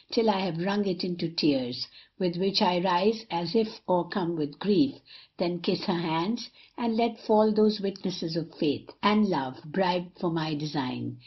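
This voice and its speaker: A woman's voice: on the low side, dull audio. A woman playing a cynical, scheming young male rake in a period stage comedy, boastful and rhetorical.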